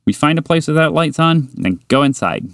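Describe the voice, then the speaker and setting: A man voicing a small, excitable, chatty mouse in a bedtime story, eager and bubbly. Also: on the high side, no echo, clean studio sound, voice pushed up. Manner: eager, bubbly, quick to laugh. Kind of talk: storybook character voice.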